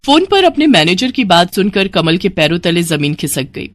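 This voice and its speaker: A woman's voice: on the low side, very animated, normal speed, slight background noise. A woman narrating a scripted moral story as a storyteller.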